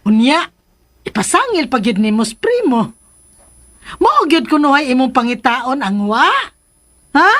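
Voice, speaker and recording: A woman's voice: sits high, very animated, a little slow, pauses often, fairly bright tone, exaggerated voice. A woman with a Cebuano accent playing a teasing, sulky, flirtatious woman in a radio drama. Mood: teasing reproach.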